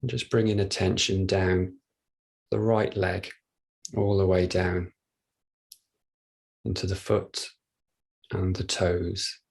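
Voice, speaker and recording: Deep creaky guy doing a body scan, really even tone, gentle w long pauses. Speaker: meditation teacher leading a body scan. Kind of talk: guided meditation, soft instruction.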